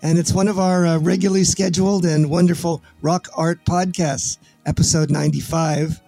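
A man hosting a podcast, folksy and energetic, often restarting his words, with a dry close-mic sound. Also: a little high, normal speed, gravelly, no room sound, faint music behind, poor audio. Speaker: podcast host. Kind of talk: casual interview with promo reads.

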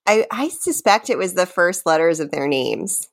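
A woman's voice: on the low side, very animated, normal speed. A woman co-hosting a podcast game in casual, playful chat, giggly and teasing, sometimes reciting lines dramatically. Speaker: podcast co-host running a guessing game.

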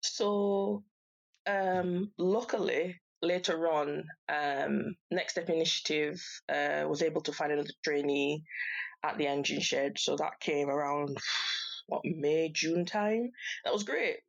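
A woman's voice: sits low, slow, vocal fry, muffled recording. Chatty woman. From Yorkshire, England. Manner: chatty, laughs often, animated quoting of others. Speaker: podcast guest. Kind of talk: casual conversational storytelling.

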